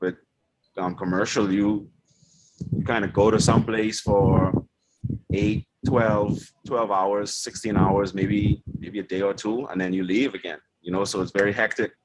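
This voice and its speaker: A young man from the Bahamas whose first language is German, a chief engineer on a yacht, answering interview questions in a relaxed, rambling way full of ums and you-knows. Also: lowish voice, unhurried, noisy background.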